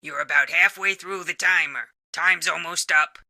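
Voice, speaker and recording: A jaded talking office appliance in a comedy video game. The voice is fairly high for a male and extremely bright and edgy, with grudging, deadpan announcements. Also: moderate pace, vocal fry, exaggerated voice. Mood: insistent. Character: jaded, grumpy male talking office machine, bored employee.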